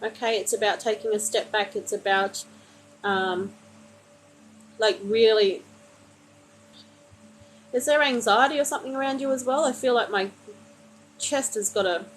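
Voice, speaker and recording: Gentle woman giving a tarot reading. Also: a little high, unhurried, long pauses, soft background music, rough recording. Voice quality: gentle, soothing, calls listener honey. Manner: meandering, many fillers and restarts. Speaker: tarot card reader. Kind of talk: live-streamed card reading to a viewer.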